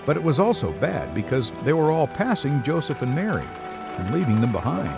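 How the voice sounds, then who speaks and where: A man's voice: mid-range voice, very animated, fairly quick, gravelly. A man narrating a scripted story as a storyteller. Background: music.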